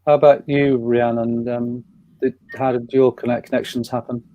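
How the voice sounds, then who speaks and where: A man's voice: mid-range voice, level tone, normal speed, dry recording, phone-quality sound. A man chairing an online panel, reading out questions from the audience in a hesitant way with lots of fillers. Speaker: event chair. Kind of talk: moderating a panel discussion.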